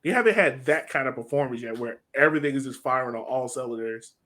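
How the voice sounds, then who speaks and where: A man's voice: mid-range voice, fast, pushed and bright, slight background noise. A man co-hosting a soccer podcast, chatting casually through analysis and repeating phrases for emphasis. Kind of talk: casual sports analysis chat.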